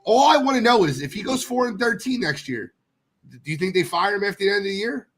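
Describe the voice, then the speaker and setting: A man's voice: high-pitched, lively intonation, fast talker, a bit bright, soft background music. Congested, blunt male podcast co-host. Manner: blunt, swears, ribs the others. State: congested from a sinus infection. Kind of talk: casual sports podcast chat.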